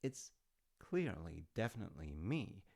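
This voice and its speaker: A man's voice: sits low, sing-song, measured pace, gravelly, a little mellow, heavily acted. A man playing an affectionate, proud dad in an audio roleplay, rambling through stories in a musing, conversational way with hesitant asides. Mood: musing, fond. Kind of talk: intimate rambling storytelling roleplay.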